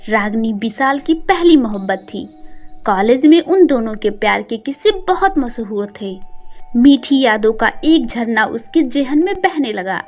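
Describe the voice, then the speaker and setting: A woman's voice: fairly high pitch, expressive, a little slow, faint music behind. A woman narrating a scripted story in a dramatic style.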